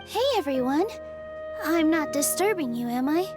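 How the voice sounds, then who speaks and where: A woman playing a sweet, earnest young dancer in an anime game, with a light, girlish, gently hesitant delivery. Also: high voice, expressive, normal speed, music in the background. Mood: friendly curiosity.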